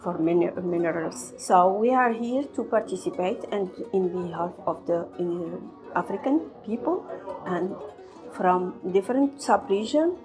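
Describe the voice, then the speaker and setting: Slow, halting woman from Morocco. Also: medium pitch, low-quality recording. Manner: halting, searching for words. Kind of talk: unscripted interview answer.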